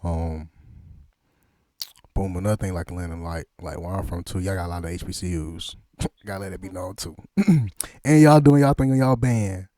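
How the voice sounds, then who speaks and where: A man's voice: deep voice, moderate pace, mellow, no room sound, studio quality. A man hosting a casual interview, talking in slangy, joking banter. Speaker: interviewer and host.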